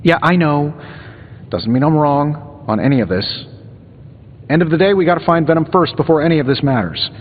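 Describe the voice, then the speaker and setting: An actor voicing a wisecracking genius inventor superhero in a video game, glib and sarcastic. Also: male voice, on the high side, lively intonation, normal speed. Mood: teasing banter. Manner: glib, sarcastic, breezy banter. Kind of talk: video game dialogue acting. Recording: dull game audio, long reverb, music.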